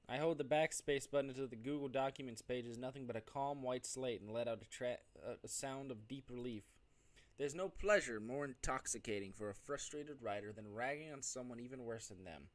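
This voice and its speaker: A male gaming streamer reads visual novel narration out loud at a middling pitch. His delivery is expressive but unpolished, and he sometimes stumbles over a word and corrects himself as he plays. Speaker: gaming streamer doing a playthrough. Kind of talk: reading visual novel narration aloud with off-the-cuff reactions.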